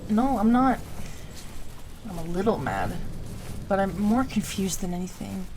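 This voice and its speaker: A woman acting an insecure, hurt but tender young girlfriend in an intimate audio roleplay, speaking haltingly and with raw emotion. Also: mid-range voice, animated, background noise. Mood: subdued, weary.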